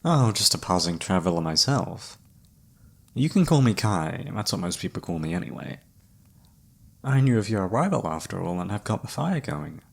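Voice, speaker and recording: A man playing a flirty, theatrical travelling palm reader in a fantasy ASMR roleplay, teasing and dramatic with a breathy, husky voice. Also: low-pitched, very animated, normal speed, full character voice. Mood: smooth, welcoming.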